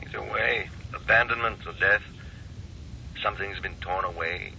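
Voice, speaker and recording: A calm, philosophical American doctor in a vintage radio play. His low voice has a hard, extremely bright edge and a measured, reflective delivery. The old recording is dull. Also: gravelly, slight background noise, exaggerated voice. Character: calm, philosophical doctor roused by late call. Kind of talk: old-time radio drama acting.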